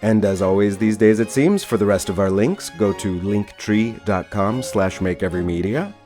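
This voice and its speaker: A man's voice: on the low side, normal speed, over music. A man hosting a podcast in a casual style. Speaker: podcast host.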